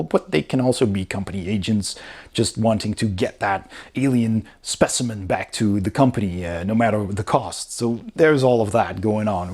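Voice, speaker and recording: A man's voice: lowish voice, darkish tone. A man reviewing in a casual, informal and enthusiastic way, talking through the material as he goes. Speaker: board game reviewer.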